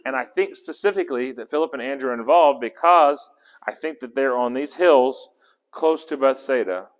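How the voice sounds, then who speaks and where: A man's voice: medium pitch, normal speed. Man teaching scripture in a reverberant hall. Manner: conversational, asks the group questions, restarts words. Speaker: Bible teacher. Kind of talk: interactive Bible study teaching. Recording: long echo of a hall.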